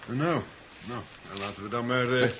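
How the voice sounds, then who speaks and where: An actor playing a genial, dismissive scientist in a 1970s radio drama, muttering hesitantly and fussily. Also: male voice, mid-range voice, normal speed, a bit bright, close to an everyday voice. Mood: absorbed, muttering. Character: dithering, genial scientist host, dismissive of danger. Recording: dull, hissy 1970s radio recording.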